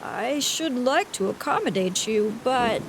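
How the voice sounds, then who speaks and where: A woman's voice: on the high side, animated, measured pace, background noise, voice pushed up. A woman voicing a good-humored young railroad magnate in a storybook, speaking genially with mild amusement. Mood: amused. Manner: genial, amused, a bit vague. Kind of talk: audiobook reading.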